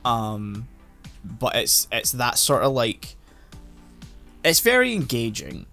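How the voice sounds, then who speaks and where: A man talks over faint background music in a mid-pitched voice, meandering as he co-hosts an anime podcast. He circles his points with plenty of fillers and half-finished restarts, recorded at home during an online call. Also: very animated, slow pace, creaky, sharp tone. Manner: rambling, lots of "like" and "um" fillers. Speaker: podcast co-host. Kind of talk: casual chat about anime.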